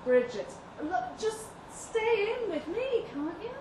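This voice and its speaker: A woman's voice: extremely high pitch, sing-song, slow, pitched above the performer's own voice, full character voice. A woman voicing an anxious, pleading young wife of nineteen in a short story. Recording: roomy live venue, distant mic, noisy.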